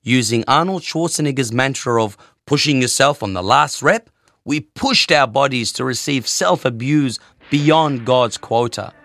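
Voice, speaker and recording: A man's voice: medium pitch, unhurried, a bit bright, no echo. A man telling a wry, self-mocking story about his own past in the first person. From Australia.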